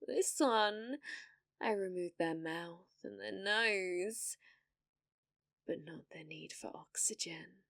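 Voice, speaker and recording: A woman's voice: medium pitch, slow pace, creaky, fairly bright tone, dry recording, clean studio sound. A British woman playing a cruel, domineering villainess in an ASMR fantasy roleplay, taunting theatrically and slipping into whispers. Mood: sadistic amusement. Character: cruel, domineering female villainess sorceress, gloating and possessive.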